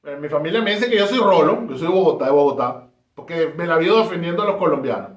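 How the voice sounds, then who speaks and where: A man's voice: on the high side, animated, quick pace. A man from Caracas with a Venezuelan accent, giving an emphatic, rhetorical talk on history. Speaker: history presenter. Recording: roomy echo.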